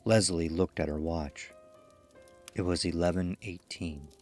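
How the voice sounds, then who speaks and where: A man's voice: low voice, unhurried, a little mellow, faint music behind. A man reading a scripted horror story as a suspenseful, dramatic audio drama performance. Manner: suspenseful, dramatic delivery with acted dialogue.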